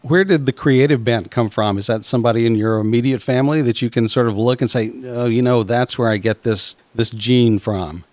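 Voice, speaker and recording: A middle-aged man interviews a guest in a casual, conversational way. His pitch is average and he rambles, halting and doubling back on words. The sound is dry, with little room on it. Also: darkish tone, no echo. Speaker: podcast host and interviewer.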